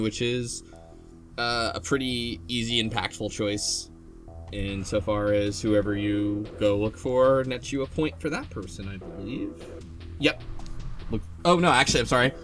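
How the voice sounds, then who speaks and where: A man hosting a casual group discussion of a game, rambling as he thinks aloud. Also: medium pitch. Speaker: podcast host.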